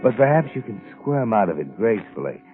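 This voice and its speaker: Creepy all-knowing suspense narrator, lowish taunting voice, old radio sound w/ music behind. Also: male voice, sing-song, brisk. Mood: mocking. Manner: mocking second-person address. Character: sinister, taunting omniscient male narrator, ominous and knowing. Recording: muffled 1940s radio recording with music.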